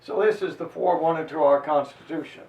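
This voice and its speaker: A man with a high voice reads history aloud as a dramatic performance. His delivery is emphatic and declamatory, with deliberate phrasing. He sounds some way from the microphone, in a roomy, echoing space. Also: darkish tone. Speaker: reader and lecturer on history. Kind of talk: dramatized reading of a historical chronicle to listeners. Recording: roomy echo, voice distant from microphone.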